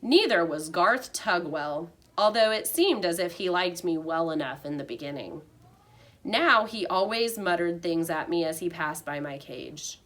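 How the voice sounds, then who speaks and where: A woman reading a children's storybook aloud like a teacher, with expressive narration. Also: lowish voice.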